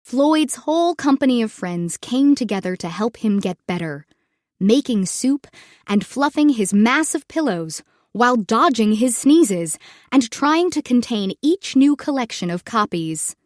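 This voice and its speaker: A woman narrating a children's storybook in an expressive, measured read-aloud manner. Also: fairly high pitch, dry recording, clean studio sound.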